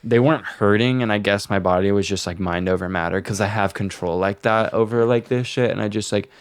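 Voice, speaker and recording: A man's voice: fairly low pitch, brisk, clear, resonant tone, no room sound, clean studio sound. A young man co-hosting a podcast in casual chat, talking in slangy, profane, exaggerated language. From small town. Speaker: podcast co-host.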